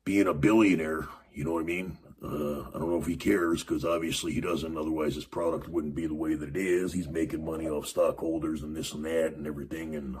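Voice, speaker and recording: A man hosting a podcast, talking casually about wrestling in a rambling way, with a raspy voice and lots of fillers. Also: very deep voice, fairly even intonation, fairly quick, few pauses, a little mellow. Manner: rambling, many fillers and restarts. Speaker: podcast host. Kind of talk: casual wrestling commentary monologue.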